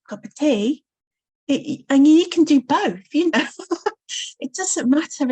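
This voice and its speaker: A woman's voice: fairly high pitch, rough recording. A woman with a Coventry English accent, a novelist guest talking in a casual interview, with lots of ums and easy laughter. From Coventry, England. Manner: chatty, many ums, warm laughter.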